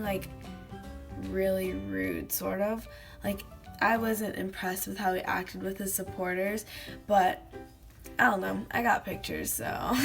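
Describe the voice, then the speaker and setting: A teenage girl vlogging casually to a webcam in her bedroom, telling stories with lots of fillers and giggles, her voice slightly hoarse from having lost it. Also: fairly high pitch. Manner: chatty, giggly storytelling with many fillers. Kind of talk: casual chat to camera. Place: small bedroom indoors.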